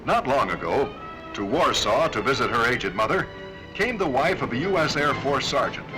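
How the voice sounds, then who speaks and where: A man's voice: mid-range voice, lively intonation, normal speed, over music, poor audio. An American man delivering stern, formal, authoritative scripted narration as presenter of a Cold War-era military training film.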